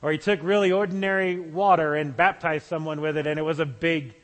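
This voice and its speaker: A man's voice: a little high, steady tone, a little fast, fairly bright tone, in a large hall. A man preaching a sermon as pastor, talking in a conversational way with small restarts.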